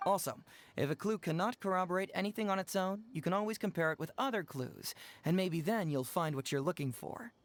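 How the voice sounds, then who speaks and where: A man playing a composed young detective in an anime-style video game, explaining in a measured, polite way. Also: high voice, no echo, close to an everyday voice. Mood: composed instruction. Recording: game music behind the voice.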